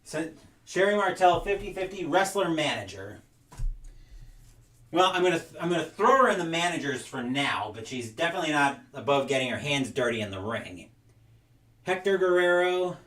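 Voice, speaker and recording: A man's voice: a little high, very animated, normal speed, a bit bright, far from the mic. Rambling man sorting cards, roomy sound. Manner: rambling, reads names aloud while sorting. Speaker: collector unboxing wrestling game cards. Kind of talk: casual unboxing chat.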